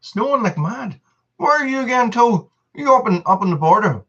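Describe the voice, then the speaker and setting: A man's voice: extremely high pitch, big pitch swings, normal speed, a bit bright, breathy edge, lo-fi sound. A man, hosting a casual live stream and chatting to viewers. He rambles, repeats himself and laughs often. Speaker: channel host on a live stream.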